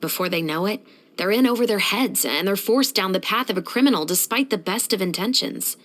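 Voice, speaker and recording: A woman's voice: mid-range voice, lively intonation, fairly quick, a bit bright, lightly acted. An actress playing a stern, dutiful young female patrol captain in a video game, speaking in a composed, authoritative and earnest manner. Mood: composed, firm. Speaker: video game character voice actor. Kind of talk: scripted video game character voice lines.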